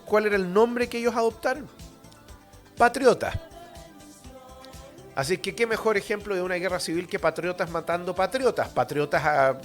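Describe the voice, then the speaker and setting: A man's voice: high-pitched, a bit bright. A man with a Chilean accent hosting a radio history show, lecturing informally in a blunt, opinionated, colloquial way full of rhetorical and tag questions.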